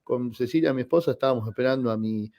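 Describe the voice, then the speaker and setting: A man in his forties with an Argentine accent, a guest telling personal anecdotes in an expressive, conversational radio interview style. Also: medium pitch, quick pace. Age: 40s. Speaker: guest, tenor singer.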